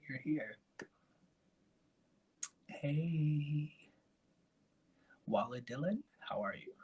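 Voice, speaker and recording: Raspy, breathy man live streaming. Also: fairly low pitch, poor audio. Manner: soft, breathy and rambling, with trailing asides. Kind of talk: casual live stream chat.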